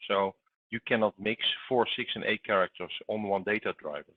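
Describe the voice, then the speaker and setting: Lowish guy explaining tech stuff to customers, tinny phone-like call audio, no echo. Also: steady tone, a little fast. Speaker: webinar co-presenter, technical trainer.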